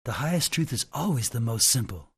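A man playing a collected sixty-year-old shaman-like sage in a radio drama, grave and measured, with a husky voice. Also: medium pitch, very animated, heavily acted. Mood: grave, wise. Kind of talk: radio drama, solemn oratory.

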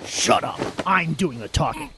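An actor playing a menacing thug in an audio drama, speaking in a deliberate, intimidating way. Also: male voice, on the high side, expressive, heavy background noise. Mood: cold, threatening. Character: menacing male thug delivering cold threats. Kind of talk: audio drama villain acting.

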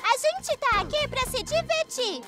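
A woman's voice: extremely high pitch, lively intonation, measured pace. A woman voicing an eager young talking motorbike in a Brazilian children's cartoon dub. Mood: complaining. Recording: music behind the voice.

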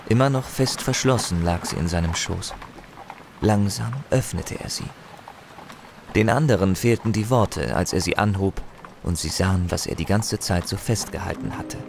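A man's voice: deep voice, moderate pace, vocal fry, noisy background. A man playing a boastful, thirsty poet and adventurer telling tales in an audio drama. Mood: suspenseful narrating. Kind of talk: theatrical audio drama storytelling.

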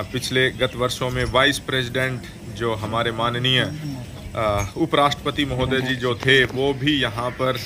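A man narrating a voice-over over dairy milking footage, formal and measured, often pausing to rephrase. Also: medium pitch, background noise. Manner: formal, measured, with frequent hesitations. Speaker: dairy farming video host.

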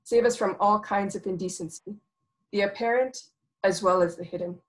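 A woman on a video call giving a slow, solemn closing prayer and its translation, reading from a page. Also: medium pitch, fairly even intonation, rough recording. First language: not Arabic. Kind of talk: recited prayer with English translation. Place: home office with framed art.

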